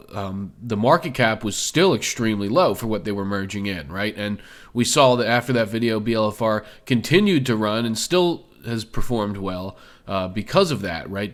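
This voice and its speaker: A man with a slightly hoarse voice from being sick, hosting a stock video in a loose, conversational way with many fillers. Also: lowish voice. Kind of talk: casual screen-share commentary.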